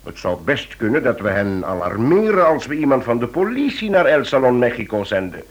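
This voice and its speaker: A police chief in a radio play, medium in pitch with a slightly rough, husky voice. He lays out his case deliberately and leans on his listener with coaxing, repeated phrases. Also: very animated. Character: persuasive male police chief pressing a friend for help. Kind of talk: Dutch-language radio play acting.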